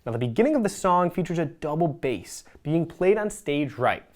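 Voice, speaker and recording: A presenter reading a scripted product review in a measured, explanatory way. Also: mid-range voice, animated, a little mellow.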